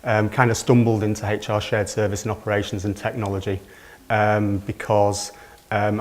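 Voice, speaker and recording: Male panelist, thirties, low even voice, halting delivery. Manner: hesitant, many filler ums. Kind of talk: conference panel talk.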